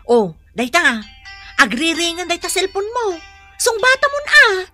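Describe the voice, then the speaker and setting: High, sharp-voiced nagging wife. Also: female voice, sing-song, unhurried, soft background music, exaggerated voice. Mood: impatient coaching. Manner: nagging, scolding, exasperated. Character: bossy, impatient schoolteacher wife nagging her husband. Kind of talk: comedic radio drama acting.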